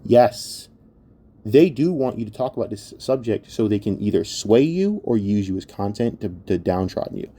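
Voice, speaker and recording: A man's voice: lowish voice, animated, normal speed, mellow. A man giving an opinionated commentary rant in a blunt, exasperated and scornful manner, swearing heavily. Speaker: online commentator.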